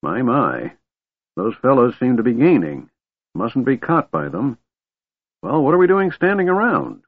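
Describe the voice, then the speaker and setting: High, flustered old wizard. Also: male voice, big pitch swings, measured pace, slightly rough, no echo, phone-quality sound, heavily acted. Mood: indignant, flustered. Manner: indignant, muttering, flustered. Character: doddering, pompous, absent-minded old male wizard.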